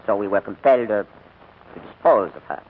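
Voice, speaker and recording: Actor as a coldly menacing German major, old radio. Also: male voice, lowish voice, lively intonation, fairly quick, close to an everyday voice. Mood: guarded, businesslike. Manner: clipped, coolly menacing, formal. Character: cold, menacing German army major interrogator. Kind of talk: 1940s radio drama acting. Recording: dull, noisy 1940s radio recording.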